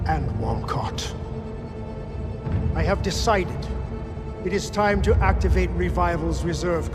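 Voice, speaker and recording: An actor playing a newly appointed war leader giving a formal, measured, oratorical address to clan khans in a game cutscene. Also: male voice, a little high. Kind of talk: formal speech, scripted game cutscene acting.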